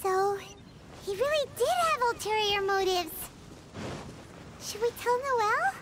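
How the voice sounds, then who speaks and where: A woman voicing a tiny, childlike fairy companion in an anime game, squeaky and inquisitive. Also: lively intonation, a little slow. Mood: curious. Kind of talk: anime game character dialogue. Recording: outdoor ambience noise behind.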